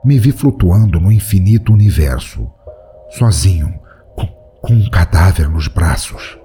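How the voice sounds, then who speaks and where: A man narrating a first-person horror story in a measured, grave way. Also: low voice, expressive, warm tone, faint music behind.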